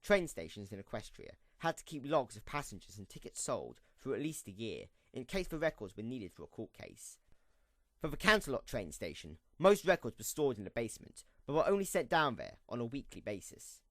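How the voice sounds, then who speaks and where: A man's voice: medium pitch, big pitch swings, brisk, no room sound. A man reading scripted story narration as the narrator of an audio drama.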